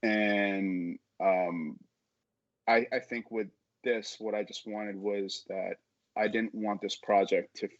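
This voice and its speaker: A man's voice: lowish voice, steady tone, slow, no room sound, dull audio. A man talking casually and informally in an interview, rambling a little as a podcast guest. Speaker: podcast guest, audio drama creator.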